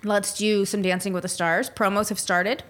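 A woman's voice: mid-range voice, level tone, normal speed, fairly bright tone. A woman hosting a podcast, chatting casually and enthusiastically about reality TV and celebrity gossip, with a teasing edge. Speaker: podcast host. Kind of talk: casual pop-culture chat.

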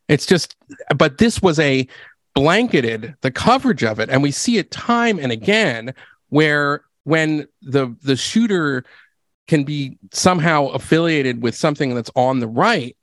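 A man's voice: medium pitch, a bit bright, no room sound. An American man on a podcast panel, giving argumentative political commentary in stop-start conversational phrases.

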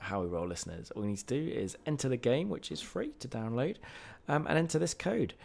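A man's voice: on the low side, gravelly. A man hosting a tabletop game podcast, upbeat as he reads thanks and plugs to close the episode. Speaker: podcast host and player. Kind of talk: casual outro chat and sign-off.

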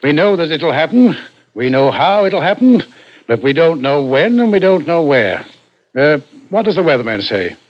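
An actor playing an authoritative, grimly certain senior police detective in a 1960s radio crime drama. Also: male voice, a little high, very animated, moderate pace. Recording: dull old radio broadcast.

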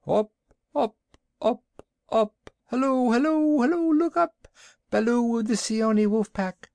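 A man voicing a loyal messenger kite bird in a storybook, calling out and reporting briskly. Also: very high-pitched, gravelly, a little mellow, no room sound, pitched above the performer's own voice, heavily acted. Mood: urgent calling.

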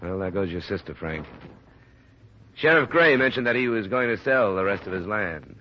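A low-voiced hard-boiled investigator played by a man, level-headed and terse, with a dry, firm delivery in classic radio drama style. The old recording is muffled and clearly noisy. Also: expressive, moderate pace, natural voice. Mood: probing, steady. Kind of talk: 1950s radio drama acting and narration.

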